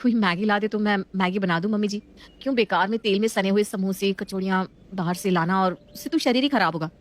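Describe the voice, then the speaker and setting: A woman's voice: mid-range voice, fairly quick, lightly acted. A woman playing a polite, eager-to-please young new daughter-in-law in a family story. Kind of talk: scripted family story acting.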